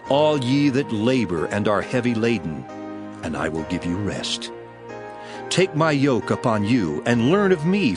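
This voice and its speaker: Jesus, solemn teacher, lowish voice, lively pitch swings, music underneath. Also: male voice. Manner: solemn measured delivery, rising to intense exclamations. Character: Jesus, calm grown man teacher, solemn and gentle. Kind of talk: dramatized Bible reading. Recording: studio voice with music beneath.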